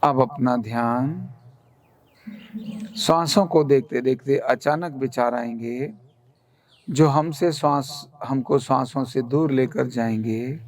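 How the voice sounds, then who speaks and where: A man leading a guided relaxation meditation in a calm, soothing, instructional manner. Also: mid-range voice. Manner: calm, soothing, instructional, with gentle repeated commands.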